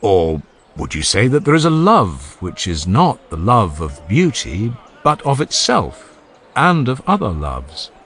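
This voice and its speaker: A man's voice: lowish voice, huge pitch swings, unhurried, a bit bright, heavily acted. A man reading the part of an earnest, genial ancient philosopher who questions relentlessly, step by step, in a dramatized audiobook dialogue. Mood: questioning, emphatic. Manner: rhetorical, emphatic, step-by-step questioning.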